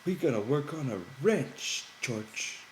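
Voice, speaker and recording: Simple-minded gentle giant: pitched up high, naive, rustic, hopeful, in an echoey room for an audiobook. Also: male voice, animated. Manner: naive, slow, rustic dialect. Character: childlike, simple, hopeful big man, eager to please. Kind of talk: character voice in audiobook reading. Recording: roomy echo, background noise.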